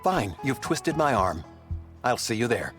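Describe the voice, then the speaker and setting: Animated, grandiose scholar-showman, music behind. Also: mid-range voice, unhurried. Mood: smug, breezy. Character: eccentric, self-important scholar moonlighting as a flashy DJ. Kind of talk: animated game voice acting.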